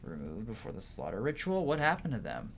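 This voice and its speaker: A man's voice: fairly low pitch, huge pitch swings, a little fast. A man voicing a cool, blunt investigator in a game dialogue reading. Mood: probing. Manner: blunt, questioning. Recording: clearly noisy background.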